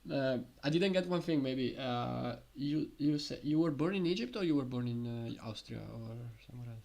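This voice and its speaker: A man's voice: medium pitch, animated, moderate pace, gravelly, darkish tone. A man from Italy whose first language is Italian, hosting an interview, hesitant and chuckling as he looks for words. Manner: hesitant, many fillers and restarts, chuckles. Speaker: interview host. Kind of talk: casual interview conversation.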